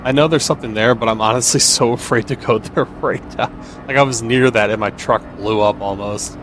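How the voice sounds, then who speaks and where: A man's voice: medium pitch, fairly quick. Man doing casual game commentary over noisy ambience. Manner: thinks aloud, asking himself questions. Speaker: gamer playing and commenting on a video game.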